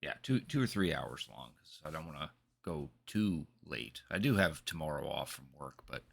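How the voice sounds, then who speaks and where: A man's voice: rumbling low, expressive, normal speed, creaky. A man hosting a livestream, talking casually in a rambling, conversational way. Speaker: game live streamer, host.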